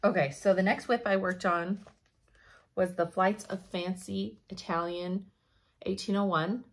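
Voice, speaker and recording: A young woman giving a chatty cross-stitch update from her home craft room, her voice slightly hoarse, laughing often as she thinks aloud. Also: mid-range voice, fairly even intonation, unhurried, slight background noise. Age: young adult. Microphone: camera in front at desk.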